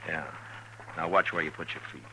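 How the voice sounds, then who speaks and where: An actor playing a stern, steady frontier marshal in a 1950s radio western, terse and authoritative. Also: male voice, very deep voice, brisk, very noisy. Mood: steady, businesslike. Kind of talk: 1950s radio western acting and first-person narration. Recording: vintage 1950s radio recording with music.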